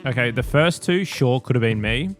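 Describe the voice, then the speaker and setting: A man's voice: medium pitch, lively intonation, moderate pace, faint music behind. A man co-hosts a podcast with blunt, joking casual banter. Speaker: podcast co-host.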